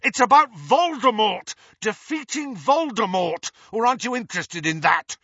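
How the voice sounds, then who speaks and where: Storybook schoolboy, played by a male reader: impassioned, pleading, urgent, raised pitch, rough-edged voice, slightly dull recording. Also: normal speed, bright, forceful tone, no echo, full character voice. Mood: urgent, angry. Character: desperate, impassioned teenage boy student. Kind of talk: audiobook character voice.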